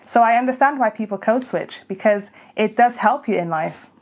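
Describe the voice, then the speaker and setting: A woman's voice: fairly high pitch, moderate pace, a little mellow. A woman speaking frankly and reflectively in a casual panel discussion, with light laughs.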